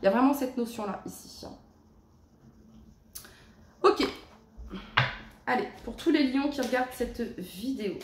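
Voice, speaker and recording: A woman's voice: a little high, echoey room. Chatty woman reading tarot cards at home. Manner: chatty, many fillers, reads card texts slowly. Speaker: tarot reader. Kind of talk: casual tarot reading to camera. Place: her home. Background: cleanup noise outside.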